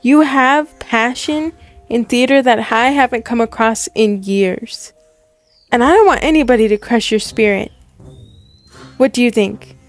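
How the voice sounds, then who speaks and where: A woman's voice: fairly high pitch, unhurried, soft background music. A woman voicing a self-assured, encouraging Broadway producer in a story roleplay, speaking directly.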